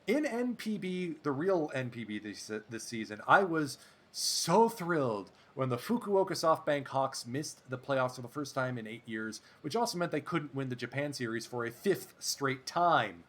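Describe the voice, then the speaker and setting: A man doing play-by-play commentary over a baseball sim game, excitable and chatty, drifting into asides. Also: medium pitch, moderate pace. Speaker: let's play host.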